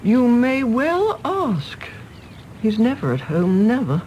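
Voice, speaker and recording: Elderly English mother, imperious, fussing, chiding, very animated delivery, radio stage play, faint noise. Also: a little high. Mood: bossy, chiding. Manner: imperious, chiding, brisk with endearments.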